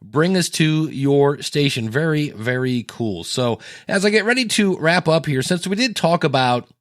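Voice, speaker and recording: A man's voice: a little high, expressive, normal speed, no room sound, clean studio sound. An American man from Ohio hosting a podcast in a casual, rambling, self-deprecating way, acting out quotes as he goes. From Ohio, United States. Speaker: podcast host. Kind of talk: casual solo podcast talk.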